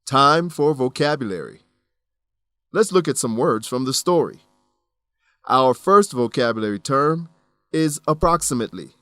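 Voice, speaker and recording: A man's voice: medium pitch, animated, moderate pace, pauses often, sharp tone, dry recording, studio quality. A man reading scripted narration as the presenter of an educational radio drama and English lesson.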